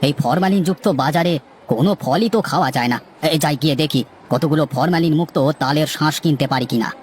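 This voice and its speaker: A chatty village gentleman played by a man for a moral-story cartoon, a doting but bossy father. He speaks fast in a highish voice, expressively chiding and instructing, over faint ambient noise. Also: close to an everyday voice. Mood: grumbling, bossy.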